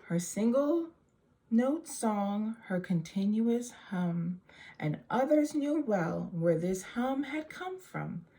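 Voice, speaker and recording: A woman reading a rhyming children's story aloud in a measured, verse-following delivery. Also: medium pitch, expressive, mellow. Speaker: storybook reader.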